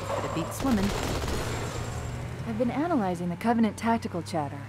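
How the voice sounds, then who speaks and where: A woman's voice: mid-range voice, lively intonation, fairly quick, close to an everyday voice. Woman as a wry AI companion amid battle noise. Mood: briefing, wry. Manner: dry, wry asides. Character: poised, wry female AI companion. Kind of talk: video game mission dialogue. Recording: loud gunfire and battle noise behind.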